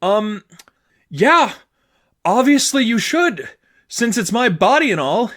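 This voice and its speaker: Man as a teenage girlfriend, raised pitch, upset, exasperated, bitter, sarcastic, emphatic, audiobook dialogue. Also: big pitch swings, takes it slowly, a bit bright, heavily acted. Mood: bitter, indignant. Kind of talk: fan fiction audiobook dialogue.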